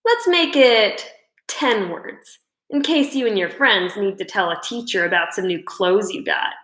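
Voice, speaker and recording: A woman's voice: medium pitch, lively intonation, unhurried, a bit bright. A woman reading a children's storybook, playing an annoying, cocky schoolboy with a mocking, needling manner. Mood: mocking, teasing. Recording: roomy indoor echo.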